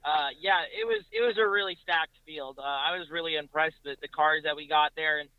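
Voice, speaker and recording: A man's voice: on the high side, sing-song, a little fast, clear, resonant tone, no echo, narrow, tinny audio. A man talking casually as a podcast guest, recounting racing details in a conversational way.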